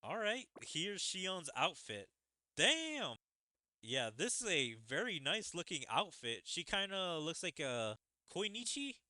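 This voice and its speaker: A man's voice: sits high, big pitch swings, slow, bright, forceful tone, dry recording, studio quality. A man giving casual commentary as he plays through a story game, with game music behind him. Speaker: gaming streamer reading story dialogue aloud.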